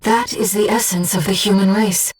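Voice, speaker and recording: A woman voicing a calm, devoted female mind-voice who guides a mech pilot in a video game, speaking in a measured, precise way. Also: mid-range voice, breathy edge, recorded from a distance, soft background music, close to an everyday voice, rough recording. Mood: calm, earnest. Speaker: mission support operator. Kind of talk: video game voice acting, mission operator dialogue.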